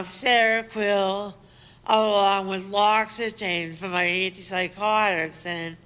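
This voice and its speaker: A woman's voice: mid-range voice, bright, forceful tone, clear, resonant tone, rough recording. A woman speaking from the audience at a public talk, plain and matter-of-fact with a few slips. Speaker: audience member.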